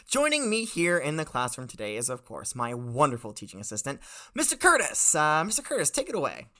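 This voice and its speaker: A man's voice: on the high side, wildly swooping pitch, fairly quick, bright, forceful tone. A man hosting a comedy podcast in the role of a professor, mock-formal and laughing often. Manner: playful, mock-formal lecturing with frequent laughs. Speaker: podcast host playing a professor.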